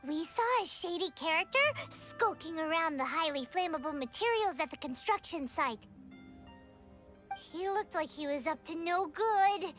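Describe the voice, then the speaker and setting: Tiny, squeaky, excitable fairy companion. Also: female voice, normal speed, soft background music. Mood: alarmed, earnest. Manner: speaks of herself in third person, dramatic. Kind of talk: English video game voice acting.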